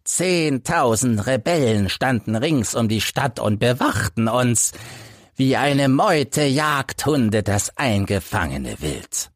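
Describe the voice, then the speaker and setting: Casting: male narrator as a crippled old veteran, bitter and emphatic, slow storybook delivery with swinging pitch. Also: sing-song, no room sound, studio quality. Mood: grave recounting. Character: embittered hunchbacked old ex-soldier telling his life story.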